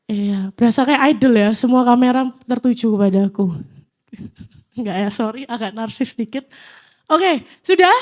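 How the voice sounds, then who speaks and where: Young woman, mid-twenties, high voice, casual church speaker, playful and a bit nervous. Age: 20s. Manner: chatty, self-deprecating, nervous. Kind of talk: casual youth sermon with audience interaction. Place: church hall, live audience.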